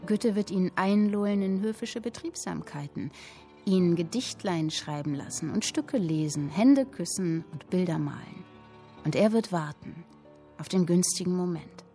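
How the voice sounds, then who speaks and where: Earnest, low-voiced young woman. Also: unhurried, mellow, soft background music. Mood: scornful, resolute. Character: earnest young woman writing and reading her story. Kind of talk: dramatic literary reading and radio drama acting.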